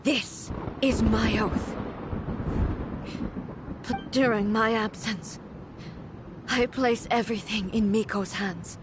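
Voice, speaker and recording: A resolute queenly ruler in a video game drama. The voice is slightly high, solemn and formal, each phrase measured. Clear ambient noise sits behind it. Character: solemn, resolute female ruler swearing an oath.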